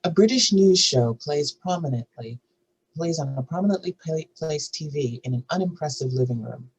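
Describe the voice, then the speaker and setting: A man's voice: on the high side, darkish tone, slight background noise, poor audio. A man narrating screenplay stage directions at a table read.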